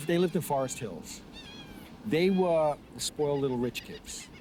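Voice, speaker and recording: A man from New York gives blunt recollections for a documentary. His voice is somewhat high for a man. Noticeable ambient noise surrounds him. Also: a little slow. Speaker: interviewee. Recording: noisy ambient location.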